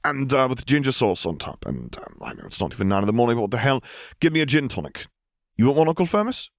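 A man's voice: medium pitch, very animated, fast talker, creaky, no room sound, studio quality, voice pushed up. A man voicing a gangly, jocular younger academic in an audiobook, chattering in an effusive, slightly flustered way. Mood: eager, flustered.

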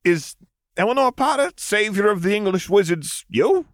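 A man's voice: sits high, expressive, normal speed, dry recording, studio quality, voice pushed up. A man reading aloud, voicing a cheerful, smug male vampire secretary who is teasing and pleased with himself. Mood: curious.

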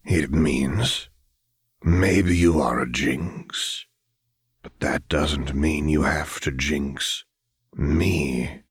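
A man voicing a calm, calculating father figure with soft menace in an audiobook, speaking in a measured, deliberate way. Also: extremely low pitch, slightly rough, no echo, clean studio sound, pitched below the performer's own voice, full character voice. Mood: patient.

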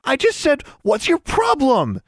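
A man's voice: very high-pitched, huge pitch swings, unhurried, a bit bright, no room sound, studio quality, voice pushed up, heavily acted. A man voicing a frightened, defensive young schoolgirl in an audiobook story, with stammering, squealing, tearful delivery. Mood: indignant.

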